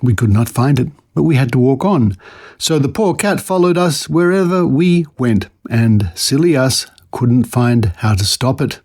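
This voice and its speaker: A man presenting a podcast, reading old newspaper articles aloud in an expressive, theatrical storytelling manner with brief commentary of his own. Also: mid-range voice, a little slow.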